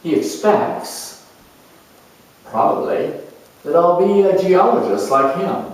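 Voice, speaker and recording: A man acts out a young man weighed down by life and resentful of his father. His monologue moves slowly and haltingly, with a world-weary edge. The voice sits at some distance from the mic in a heavily reverberant room. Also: fairly high pitch, pitched above the performer's own voice. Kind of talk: dramatized monologue.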